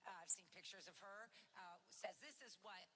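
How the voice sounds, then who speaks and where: A woman from the Boston area speaks as a regular radio guest, giving thoughtful opinions with many pauses and fillers. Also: mid-range voice, fairly even intonation, a bit bright, big-room sound. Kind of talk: radio call-in discussion.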